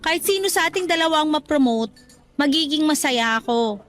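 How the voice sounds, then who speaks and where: A Filipino woman playing a cheerful, self-deprecating young office worker in a chatty, expressive radio drama style. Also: high voice, brisk, faint music behind. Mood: friendly, hopeful.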